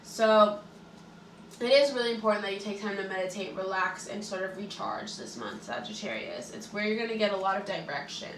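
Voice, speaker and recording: A woman's voice: medium pitch, fairly quick, far from the mic, slight background noise. Stuffy-nosed woman reading tarot, echoey room. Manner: chatty, relaxed, many likes and ums. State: stuffy-nosed from allergies, sore throat. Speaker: tarot reader and astrologer. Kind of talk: casual tarot reading for viewers.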